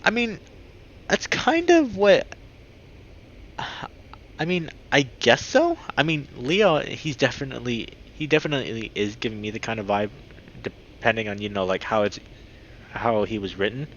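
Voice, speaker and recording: A man reading a visual novel aloud as a streamer, with expressive reactions. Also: mid-range voice, moderate pace. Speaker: video game streamer. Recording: dull lo-fi mic with clear background noise.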